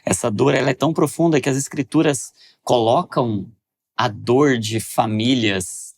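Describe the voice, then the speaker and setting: A man's voice: medium pitch, vocal fry, darkish tone. A man hosting a faith podcast interview, speaking reflectively with many restarts. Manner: reflective, speeds up and slows down, frequent restarts. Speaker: podcast host.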